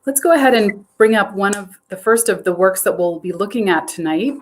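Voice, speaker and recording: A woman's voice: medium pitch, fairly quick. A woman curator introducing an artist and asking her questions in a museum talk, encouraging and friendly. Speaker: curator and interviewer.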